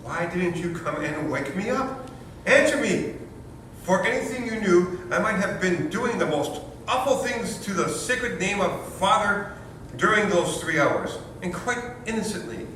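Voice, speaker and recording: A man's voice: sits high, expressive, a little slow, fairly bright tone. A man playing a bewildered, blustering new father in a stage reading of an Edwardian farce, exclaiming indignantly. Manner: exclamatory, indignant, mildly swearing. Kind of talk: readers theater comedy acting. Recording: echoey room from far off, faint noise.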